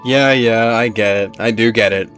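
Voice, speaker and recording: A streamer comments on a game in a medium-pitched voice. The talk is loose, slangy and conversational, with game music faintly behind it. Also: steady tone, normal speed. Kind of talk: casual gaming commentary.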